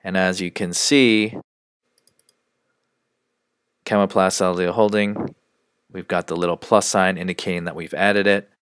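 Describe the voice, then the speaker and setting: Male software trainer, low register, measured instructional screencast delivery, dry studio-style sound. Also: fairly even intonation, clear, resonant tone, dry recording. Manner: measured, step-by-step explaining.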